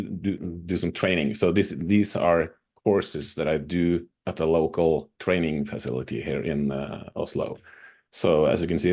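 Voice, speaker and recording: A man's voice: low-pitched, a little mellow. A man from Norway with a Norwegian accent, a non-native English speaker, presenting over a video call with hesitant speech and many fillers.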